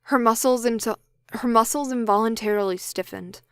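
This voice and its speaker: A woman's voice: on the high side, normal speed, fairly bright tone, clear, resonant tone, no room sound, studio quality. A woman reading scripted story narration for an audio drama. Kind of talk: scripted audiobook-style narration, blooper takes.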